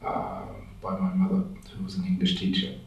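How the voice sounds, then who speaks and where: A man from France answering interview questions as a language teacher, talking in a halting way as he searches for words. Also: low-pitched, huge pitch swings, creaky. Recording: echoey room, voice from far off the mic.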